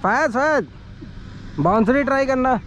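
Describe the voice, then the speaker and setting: A man with a very high, bouncy voice shouts encouragement and instructions across an outdoor cricket ground. The field recording is noisy, with traffic and ambience clearly in the background. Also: unhurried. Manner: excitable, calls out instructions to teammates. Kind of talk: shouted on-field calls and banter.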